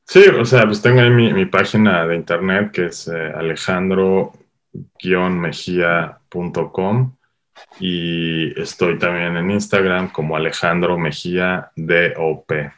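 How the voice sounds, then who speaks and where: A middle-aged Mexican man with a Mexican accent, a guest filmmaker talking animatedly in a casual interview, full of quick asides. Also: low voice. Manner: animated storyteller, quick asides, lots of "o sea".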